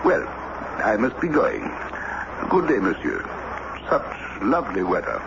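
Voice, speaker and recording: An actor playing a courtly, needling count and diplomat in a radio drama, with a smooth, insinuating manner. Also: male voice, lowish voice, huge pitch swings, a little slow, full character voice. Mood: polite, insinuating. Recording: noisy, muffled old radio broadcast recording.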